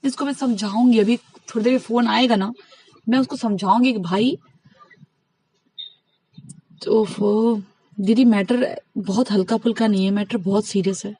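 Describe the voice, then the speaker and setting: A woman's voice: medium pitch, steady tone, moderate pace, warm tone, husky, no room sound, low-quality recording. A woman in a casual, gossipy phone conversation, rambling earnestly as she retells exchanges and gives advice. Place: phone call recording.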